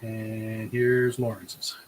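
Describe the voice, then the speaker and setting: A man's voice: lowish voice, monotone, drawn-out words. A man hosting an online drawing show, chatting casually as he shows artwork. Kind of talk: casual chat while showing artwork. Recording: clear background noise.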